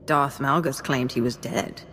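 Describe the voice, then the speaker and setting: An actress playing a cold, imperious dark lord in a video game, confident and threatening, with clipped, haughty delivery. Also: female voice, very deep voice, unhurried, vocal fry, a little hiss or hum, heavily acted. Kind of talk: scripted video game acting. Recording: game music behind the voice.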